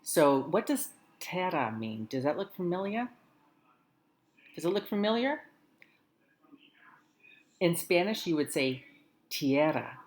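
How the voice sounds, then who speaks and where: A woman hosting a school morning greeting, speaking warmly and encouragingly to students and reading slowly and carefully. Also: on the low side, very animated. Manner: warm encouraging teacherly tone, slow careful reading. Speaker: school morning announcements host. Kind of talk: friendly talk to schoolchildren.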